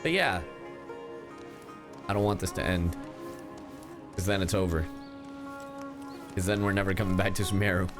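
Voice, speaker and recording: A man's voice: sits low, moderate pace, long pauses. A young man giving casual live reactions as a game streamer, slangy and joking, with rambling asides. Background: game music.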